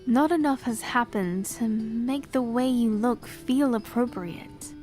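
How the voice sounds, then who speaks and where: A woman voicing a cursed young queen who speaks in a slow, formal, solemn way in a fantasy audio drama. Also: on the high side, dark tone, faint music behind. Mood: weary.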